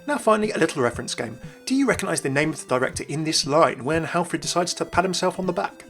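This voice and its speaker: Fast-talking young man narrating. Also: a little high, animated, a bit bright, faint music behind. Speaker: YouTube gaming host. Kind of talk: scripted video narration.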